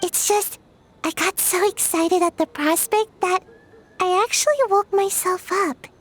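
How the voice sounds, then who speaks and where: A woman's voice: fairly even intonation, measured pace, a little hiss or hum. A woman voicing a small, lazy, nap-loving childlike dreamer in a video game, earnest and easily flustered. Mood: dreamy, earnest. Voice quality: childlike, very high. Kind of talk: animated video game dialogue. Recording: background music.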